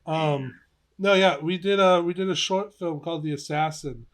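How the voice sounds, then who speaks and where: Higher-voiced young man, animated podcaster. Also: normal speed. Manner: animated, giggly storytelling. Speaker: podcast co-host. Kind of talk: casual podcast chat, reminiscing.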